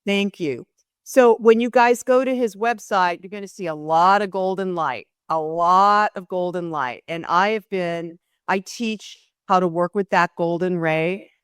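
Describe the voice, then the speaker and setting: A woman's voice: fairly low pitch, measured pace, smooth, no room sound, clean studio sound. A woman hosting an interview podcast, talking earnestly with many fillers and restarts. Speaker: podcast host.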